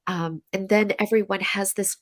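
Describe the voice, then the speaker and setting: A woman's voice: medium pitch, steady tone, moderate pace. An American woman with a stuffy nose from a cold, chatting as an interview guest and telling a long story full of fillers and restarts. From USA. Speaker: podcast guest, coach.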